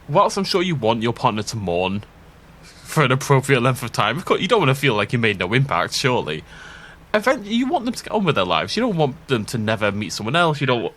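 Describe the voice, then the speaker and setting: A man, sniffly from a cold, chatting casually as he plays a game. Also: medium pitch. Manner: chatty, rambling, animated. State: sick, itchy throat, sniffly. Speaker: let's play gameplay commentator. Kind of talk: casual gameplay commentary.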